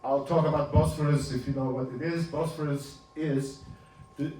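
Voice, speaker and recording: A man in his seventies, a native Ladino speaker from Turkey, giving an informal talk over slides, halting and restarting with small chuckles. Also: fairly high pitch, fairly even intonation, dark tone. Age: 70s. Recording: roomy, distant, faint hum.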